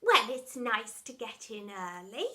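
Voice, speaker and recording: A woman voicing an elderly, scatty storybook headmistress who is polite and gently teasing. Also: fairly high pitch, sing-song, a little slow, heavily acted. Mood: cheerfully vague.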